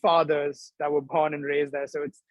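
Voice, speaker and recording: A young man from Dubai, a startup co-founder, talking quickly and animatedly as the guest in a video-call interview. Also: on the high side, no room sound. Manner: quick, animated, laughs between thoughts. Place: indoor room.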